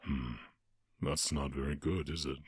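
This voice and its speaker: A man voicing a stern, imposing orc warchief in a fantasy audio roleplay, speaking in deliberate, imperious proclamations. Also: very deep voice, little pitch movement, husky, dry recording, studio quality, heavily acted. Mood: cold menace.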